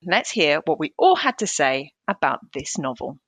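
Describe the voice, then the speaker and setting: A woman's voice: sits low, big pitch swings, moderate pace, loud music behind the voice. A British woman hosting a podcast, delivering a scripted link between segments. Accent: British English. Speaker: podcast host.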